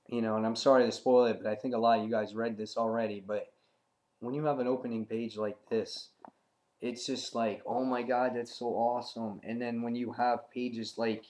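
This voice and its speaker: A man with a raspy voice, getting over the flu, casually and enthusiastically reviewing comic books with lots of fillers and restarts. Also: medium pitch, darkish tone. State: recovering from the flu.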